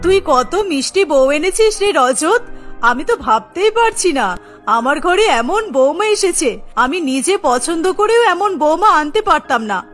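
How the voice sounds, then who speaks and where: A snobbish cartoon mother-in-law in a very high voice. She is sharp-tongued and greedy, doting on her son and looking down on everyone poorer. Light music runs underneath. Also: full character voice. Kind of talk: animated moral-tale cartoon acting.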